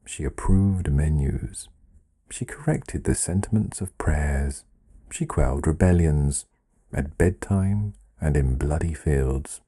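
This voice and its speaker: Male narrator for literary fiction: very low pitch, unhurried, wry and deliberate. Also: mellow. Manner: measured, deliberate, wry delivery. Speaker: author reading own story. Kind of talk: scripted literary storytelling narration.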